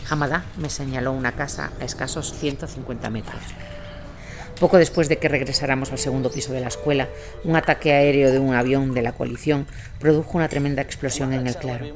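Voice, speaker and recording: A man's voice: medium pitch, steady tone, fairly quick. A man reading a scripted first-person war account as its narrator. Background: music.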